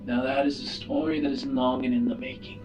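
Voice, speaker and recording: A man playing a grave, secretive alien elder statesman in a tabletop roleplay, speaking formally and with measured solemnity. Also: medium pitch, level tone, distant mic, highs cut off, over music, natural voice. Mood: grave, foreboding.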